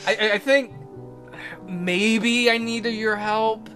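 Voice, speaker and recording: A man's voice: high voice, steady tone, slow, sharp tone, soft background music, voice pushed up, heavily acted. A man voicing a touchy, insecure schoolboy from a dating game in a melodramatic, defensive way. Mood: hesitant, needy. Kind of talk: visual novel dialogue read aloud.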